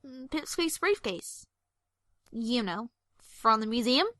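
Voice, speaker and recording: High, bright, excitable young mare, voiced by a woman. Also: takes it slowly, no echo, clean studio sound, exaggerated voice. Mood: incredulous, admiring. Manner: eager, flustered, earnest. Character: excitable bookish young female pony, earnest history enthusiast. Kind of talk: animated audio drama acting.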